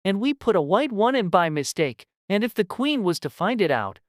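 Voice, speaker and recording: Man putting on a high-voiced humble gardener. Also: sing-song, normal speed, no echo, clean studio sound. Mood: anxious, deferential. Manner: folksy, deferential wording. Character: humble, anxious male gardener, rustic speech. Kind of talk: dramatized storybook acting.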